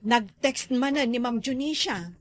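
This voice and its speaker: A woman's voice: on the high side, measured pace, no echo. A woman acting a gossipy, teasing village friend in an Ilocano comedy drama, with an Ilocano Filipino accent. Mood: conversational.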